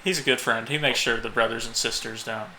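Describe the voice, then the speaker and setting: A man co-hosting a podcast in casual, halting banter, often breaking into chuckles. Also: medium pitch, fairly even intonation, bright, forceful tone, poor audio. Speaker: podcast co-host. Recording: faint background noise.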